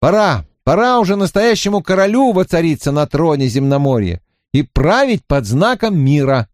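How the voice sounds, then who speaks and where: A man's voice: fairly high pitch, very animated, moderate pace, dry recording, clean studio sound. A man voicing an eager, talkative young male wizard student who speaks with earnest fervor in an audiobook reading. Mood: fervent. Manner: earnest, fervent, slightly mocking.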